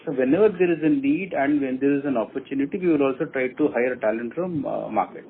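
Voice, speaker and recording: A man with an Indian accent, a company executive answering questions on an earnings call in a deliberate way, laying out his points one by one. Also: mid-range voice. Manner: deliberate, repeats and enumerates points. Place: telephone conference call. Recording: muffled phone line with faint noise.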